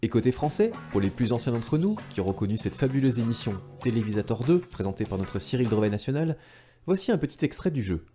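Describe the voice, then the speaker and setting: A man's voice: medium pitch, sing-song, fast, music in the background. A man narrating a retro gaming video from a script, enthusiastic and speaking directly to viewers with casual asides. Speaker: video creator and host.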